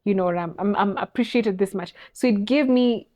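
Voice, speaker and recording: A woman's voice: mid-range voice, fairly quick, darkish tone. A young adult woman, co-hosting a podcast in a reflective, earnest casual chat. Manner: reflective, earnest, laughs easily. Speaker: podcast co-host.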